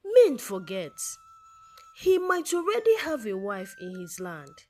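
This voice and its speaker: A woman's voice: fairly high pitch, huge pitch swings, takes it slowly, darkish tone, soft background music, heavily acted. A woman voicing a worried, sceptical childhood friend in a folktale, frank and cautioning.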